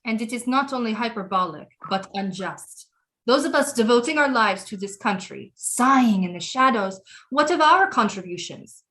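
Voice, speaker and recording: A woman's voice: fairly high pitch, expressive, moderate pace, fairly bright tone. An actress playing a proud, brooding queen mother in an audio play, resentful of her critics, with a regal, formal and dramatic delivery. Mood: indignant.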